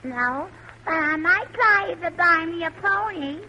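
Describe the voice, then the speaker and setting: A woman's voice: very high-pitched, animated, unhurried, sharp tone, full character voice. A woman playing a sly, bratty little girl in a coy, childish way in an old radio comedy. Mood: stubborn, sly. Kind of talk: 1940s radio comedy acting. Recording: muffled, noisy old radio recording.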